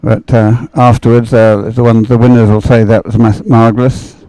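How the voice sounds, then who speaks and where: A man's voice: mid-range voice, level tone, moderate pace, mellow, no echo, low-quality recording. A man working as a live sports co-commentator, talking conversationally in musing, broken phrases. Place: open-air commentary position.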